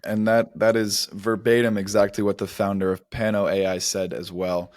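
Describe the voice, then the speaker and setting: Guy co-hosting a startup news podcast, kinda low voice, explains stuff clearly, really dry sound. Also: little pitch movement, moderate pace, a little mellow, dry recording. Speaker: podcast co-host. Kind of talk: semi-scripted startup news discussion.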